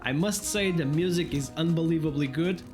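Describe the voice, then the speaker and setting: Higher-pitched man narrating wryly over music. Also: moderate pace. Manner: tongue-in-cheek, wry. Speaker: YouTube game reviewer. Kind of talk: scripted comedic game narration. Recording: music behind the voice. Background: background music.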